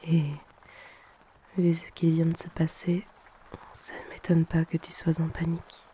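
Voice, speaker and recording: A woman playing a soothing, motherly hypnotist and colleague in an ASMR audio roleplay, speaking in a hushed, reassuring manner. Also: sits low, completely flat delivery, measured pace, slight background noise, rough recording. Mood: tender, reassuring. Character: soothing, motherly female hypnotist and bar colleague comforting someone.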